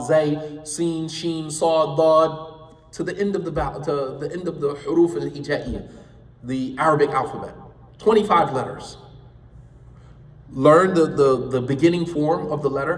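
A man's voice: fairly high pitch, reverberant, in a large hall, recorded from a distance. A man whose first language is English, teaching an Islamic studies class in an emphatic, impassioned way and repeating points for stress. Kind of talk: classroom lecture.

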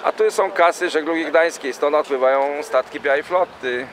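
A man's voice: fairly high pitch, moderate pace, crowd noise. Man narrating a boat tour. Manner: chatty, rambling with fillers and self-corrections. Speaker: amateur tour guide on a boat. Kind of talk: casual running commentary. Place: small boat on a city river, outdoors. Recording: loud wind and boat engine noise.

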